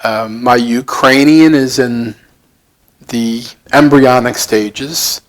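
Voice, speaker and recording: Male, 70s, heavy Brooklyn accent. Slow, halting, reflective interviewee, plain and self-deprecating. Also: medium pitch. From Brooklyn, United States. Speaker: interview guest.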